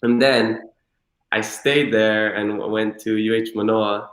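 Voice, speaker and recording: A man in his twenties from Hilo, Hawaii, a guest telling his story in a relaxed, rambling way full of "you know" and "like". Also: lowish voice, unhurried, reverberant, low-quality recording. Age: 20s. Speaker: interview guest. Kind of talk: casual conversational storytelling.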